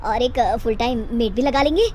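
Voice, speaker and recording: A woman's voice: fairly high pitch, fairly quick, dark tone, slight background noise, natural voice. A woman voicing a practical young husband in a cartoon family story, by turns hesitant and insistent. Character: young husband, practical, easily irritated working man.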